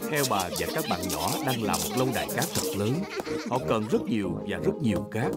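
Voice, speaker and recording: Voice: medium pitch, big pitch swings, a little fast, over loud music, lightly acted. A performer narrating a dubbed preschool cartoon in a simple storybook style. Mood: storytelling.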